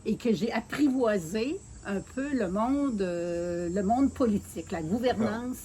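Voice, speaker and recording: A woman in her sixties from Quebec City with a Quebec French accent, calmly telling her career story in an interview, with many filler pauses. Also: medium pitch, a little hiss or hum. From Quebec City, Canada. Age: 60s. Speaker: mayoral candidate being interviewed.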